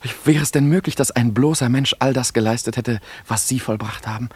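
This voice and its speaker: Man acting a breathy, awestruck travelling student. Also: mid-range voice, a little fast, no echo, natural voice. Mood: reverent. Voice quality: breathy, husky. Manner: hushed, reverent, effusive. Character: awestruck, reverent male travelling student, flattering and humble. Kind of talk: theatrical German radio play acting.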